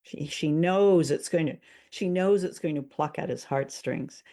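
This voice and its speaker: A woman's voice: deep voice, unhurried, dark tone. A woman co-hosting a podcast in a casual, conversational film discussion. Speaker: podcast co-host.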